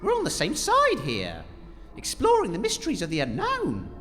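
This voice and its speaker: A man playing an eager, excitable radio host in an audio comedy. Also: high voice, normal speed, faint music behind, heavily acted. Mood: placating. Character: excitable, peace-making male radio host on a ghost tour. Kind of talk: comedy audio drama acting. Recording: reverberant hall, faint noise.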